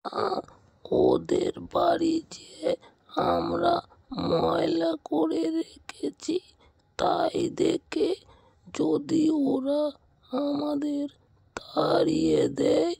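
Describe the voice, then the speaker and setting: A woman voicing an anxious little bird husband in a children's cartoon, with hesitant, drawn-out phrasing. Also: high voice, sing-song, creaky, dark tone, no room sound, studio quality, voice pushed down, heavily acted. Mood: worried.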